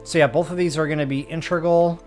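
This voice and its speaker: A man's voice: a little high, fairly even intonation, quick pace, faint music behind. A man giving casual, chatty walkthrough commentary as a gaming streamer, addressing viewers informally.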